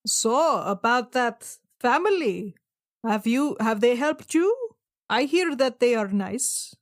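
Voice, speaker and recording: A woman's voice: on the high side, lively intonation, slow, dry recording, clean studio sound. A woman voicing a clueless, awkwardly polite tiny blue fish man adventurer in a tabletop game, stammering and fumbling. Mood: fishing for information.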